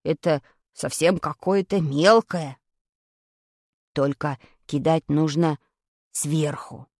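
Woman doing a low, slow, serious schoolboy. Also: darkish tone, no room sound, clean studio sound. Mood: doubtful, firm. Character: slow, serious, assertive schoolboy, bossy friend. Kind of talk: children's story character.

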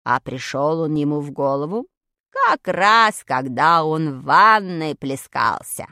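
Woman doing a low, slow, serious schoolboy. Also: sing-song, pushed and bright, no room sound, studio quality, exaggerated voice. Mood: explanatory. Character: slow, serious, assertive schoolboy, bossy friend. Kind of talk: children's story character.

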